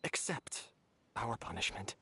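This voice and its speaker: A man playing a remorseful young orphan in a game drama, subdued and contrite with a husky voice. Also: lowish voice, very animated, unhurried, pushed and bright, slight background noise, exaggerated voice. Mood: resigned remorse. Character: resigned, remorseful young orphan accepting punishment.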